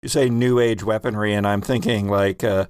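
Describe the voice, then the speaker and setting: A man running a tabletop role-playing game as game master, asking the players questions in a relaxed, joking way. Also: on the low side, moderate pace, smooth, dry recording, studio quality.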